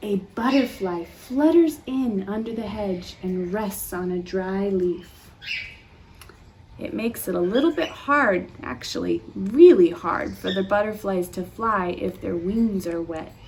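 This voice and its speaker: A woman's voice: mid-range voice, slight background noise. A woman reading a picture book aloud to young children, acting it up theatrically and putting questions to them. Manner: animated, theatrical gasps and pauses for young listeners. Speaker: children's storytime reader.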